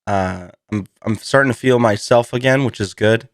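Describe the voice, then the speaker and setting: A man hosting a solo podcast in a casual, rambling, self-deprecating way, slightly hoarse from a lingering cold. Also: mid-range voice, normal speed, no echo, clean studio sound. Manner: rambling, self-deprecating, laughs through his words. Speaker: podcast host.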